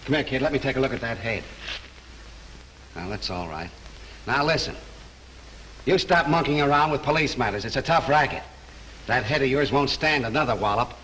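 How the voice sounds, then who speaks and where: An actor plays a gruff, wisecracking police detective in an early talkie. His voice has a sharp, forceful edge, and faint hiss from the old film soundtrack lies under it. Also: medium pitch, expressive, moderate pace, pauses often, natural voice, rough recording. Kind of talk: 1930s film acting.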